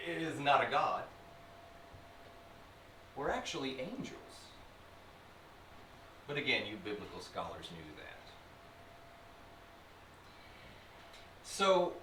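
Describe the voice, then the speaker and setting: A man's voice: mid-range voice, sharp tone, heavily acted. A man playing a sly, taunting demon in a theatrical, sinister monologue spoken to the viewer. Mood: smug, lecturing. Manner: slow deliberate delivery with sinister chuckles and sudden swells. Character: sly, taunting male demon possessing a human, theatrical vlogger. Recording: roomy, distant mic with faint noise.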